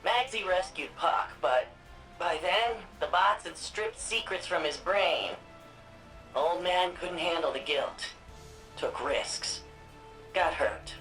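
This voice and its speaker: An actress voicing a spirited, nerdy young woman archivist in a video game, quick and chatty. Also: fairly low pitch, fairly bright tone, faint music behind, rough recording. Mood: reflective. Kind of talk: video game dialogue and narration.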